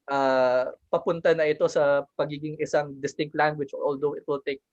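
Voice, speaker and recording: A man's voice: on the high side, little pitch movement, fairly quick, dry recording. Hesitant young-sounding man, dull dry sound. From Southern Mindanao, Philippines. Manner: hesitant, many fillers and repeated words; switches between Filipino and English. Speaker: panel speaker. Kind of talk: academic panel discussion.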